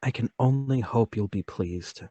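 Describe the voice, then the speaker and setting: A man playing a meek, anxious, polite salesman, husky and hesitant, in a radio play table read. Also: medium pitch, measured pace, dark tone, dry recording, lo-fi sound. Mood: deferential. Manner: deferential, hesitant.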